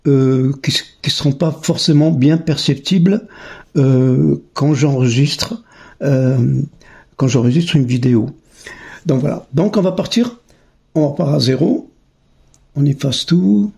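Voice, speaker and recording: A man's voice: on the high side, gravelly, mellow. A man giving a casual, hands-on tutorial as a teacher, thinking aloud in a hesitant way as he goes. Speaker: music teacher, channel host. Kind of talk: casual spoken tutorial demonstration.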